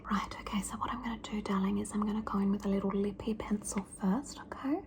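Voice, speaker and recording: A woman doing a whispered ASMR roleplay as a fussy, pampering mother who calls her child darling and scolds her gently. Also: medium pitch, steady tone, background noise, lightly acted. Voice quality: soft whisper. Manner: doting yet scolding, lingering and drawn-out.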